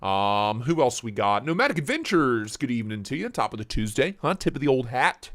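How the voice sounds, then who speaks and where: A man's voice: medium pitch, sing-song, fairly quick, a bit bright, no room sound. A man hosting a casual live stream, joking around and greeting viewers by name, his voice starting to go. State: losing his voice.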